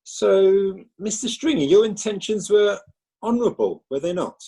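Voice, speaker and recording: A persuasive defence lawyer, played by a man steering witnesses with leading questions. His voice is husky and mellow, with a lively pitch. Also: normal speed, full character voice. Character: smooth defending male lawyer. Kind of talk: amateur radio drama.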